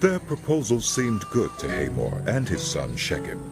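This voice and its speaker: Deep-voiced solemn male scripture narrator. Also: wildly swooping pitch, normal speed, over music.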